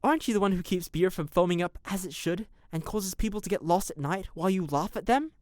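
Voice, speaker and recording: A woman's voice: lowish voice, quick pace, darkish tone, no room sound, clean studio sound, natural voice. A woman playing a cheeky, inquisitive fairy servant in an audio drama, with a teasing, theatrical delivery. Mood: curious, teasing. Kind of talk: modern-English audio drama acting.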